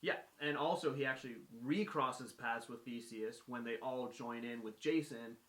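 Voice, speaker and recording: A man's voice: medium pitch, normal speed, fairly bright tone. A man casually retelling a myth as a guest storyteller, with colloquial phrasing and acted-out dialogue. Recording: roomy echo.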